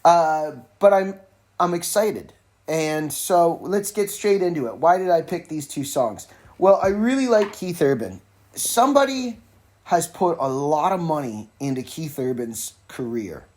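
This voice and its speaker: A man's voice: on the high side, big pitch swings, measured pace, a little mellow. Rambling man, casual solo podcaster. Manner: rambling, self-interrupting, wry informal asides. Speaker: podcast host. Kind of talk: casual solo rambling talk about music. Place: informal solo recording with a phone at hand.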